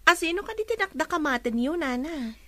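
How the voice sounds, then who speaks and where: High-voiced, curious young Ilocano woman. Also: expressive, fairly quick, a bit bright, dry recording, clean studio sound. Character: young woman nurse, curious and questioning friend. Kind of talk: Ilocano radio drama acting.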